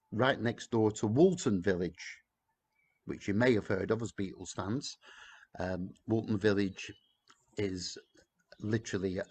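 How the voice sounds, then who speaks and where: A middle-aged man with a Lancashire accent, chatting casually and joking with viewers on a live stream from his back garden. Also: fairly low pitch. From Blackburn, Lancashire, England. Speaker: tour guide hosting a live chat.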